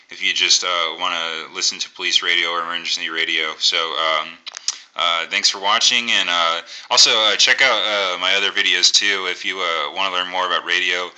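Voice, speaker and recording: An American man giving a casual, unscripted radio tutorial in a halting, think-aloud way. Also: low-pitched, sharp tone, clean tone, poor audio. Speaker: amateur radio hobbyist presenting a tutorial. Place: indoors at a table.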